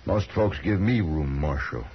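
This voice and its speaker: Cocky young american gunslinger, low voice, cool and taunting, old hissy radio western. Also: male voice, lively intonation, unhurried. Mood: cool, insolent. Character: cocky, menacing young gunslinger, coolly insolent. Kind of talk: 1950s radio Western acting. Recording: dull, hissy 1950s radio recording.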